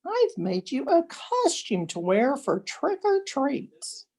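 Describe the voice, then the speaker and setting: A woman's voice: sits high, huge pitch swings, slow, a little mellow, pitched above the performer's own voice, full character voice. A woman voicing a doting, gushing mother dog from a storybook, calling sweetly in a singsong way. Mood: cheerful, doting.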